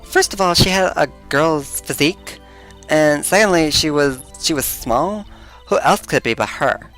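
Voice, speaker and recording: Guy putting on a ditzy flirty lady witness, coy and sing-songy, higher voice, livelier. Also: animated, normal speed, a bit bright, soft background music. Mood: flustered, flirty. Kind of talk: voicing game characters.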